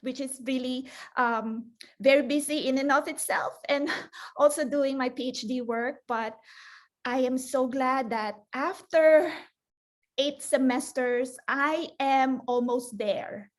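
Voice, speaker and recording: A woman's voice: high voice, steady tone, slow pace. A woman from the Philippines, likely in her forties, giving a conference talk as a librarian, hesitant with many fillers and restarts. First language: Filipino (Tagalog). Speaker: librarian presenter.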